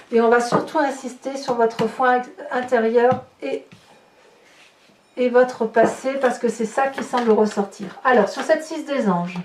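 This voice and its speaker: A woman improvising a tarot reading for her viewers, breaking into exclamatory, astonished asides as she comments on the cards. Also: a little high. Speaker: tarot reader and channel host. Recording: roomy echo, distant mic, background noise.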